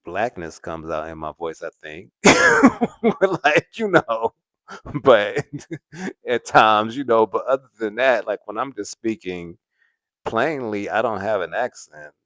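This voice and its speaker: Deep-voiced man, casual livestream chat. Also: wildly swooping pitch, takes it slowly, a bit bright, dry recording. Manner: laughs often, repeats "at the end of the day". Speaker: host.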